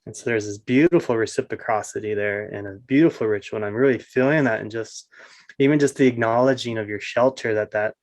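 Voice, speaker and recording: Middle-aged male host, low voice, appreciative and affirming, dry video-call sound. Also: a little fast, no room sound. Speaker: interviewer, community host. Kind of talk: warm spiritual interview chat. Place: indoor room with wall hangings.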